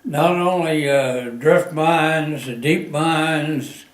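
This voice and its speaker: A man of ninety-nine from the mountains of southwestern Virginia, recalling his life in a halting way with many pauses and fillers. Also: a little high, roomy sound. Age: 90s. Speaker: interviewee. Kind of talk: oral history interview.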